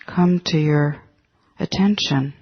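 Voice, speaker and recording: A man leading a guided meditation in a gentle, halting manner, leaving long pauses between short phrases. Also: a little high, slightly rough, dry recording. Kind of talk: guided meditation, spoken instructions. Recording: dull lo-fi audio with faint music.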